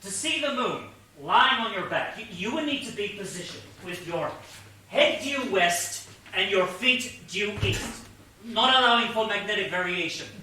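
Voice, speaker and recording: An actor playing an earnest, pedantic detective sidekick in a comic stage play, explaining things with precise, patient logic. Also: male voice, high-pitched, lively intonation, measured pace, bright, forceful tone, full character voice. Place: theatre stage, mic far off. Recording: distant, echoey theatre stage recording, faint hiss.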